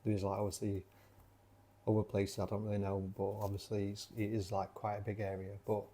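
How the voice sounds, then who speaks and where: A man with a broad South Yorkshire accent chats in a loose, rambling way, stopping and restarting his sentences. His voice sits on the low side with a mellow, dark tone. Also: completely flat delivery, a little fast. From Sheffield, South Yorkshire. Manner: rambling with many fillers and restarts. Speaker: channel creator. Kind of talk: casual rambling chat.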